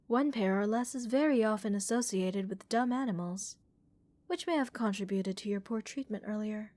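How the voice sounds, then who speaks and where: A woman voicing a curious, calm female alien scientist in a relaxing ASMR roleplay, speaking in a measured, clinical and gently reassuring way. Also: mid-range voice, no room sound, close to an everyday voice. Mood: clinical curiosity.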